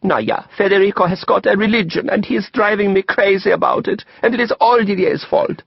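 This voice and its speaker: Audiobook role: man playing sulky, naive woman, petulant and aggrieved, raised pitch, husky tone. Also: brisk, no echo, lo-fi sound, exaggerated voice. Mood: exasperated. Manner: petulant, complaining. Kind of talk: audiobook character acting.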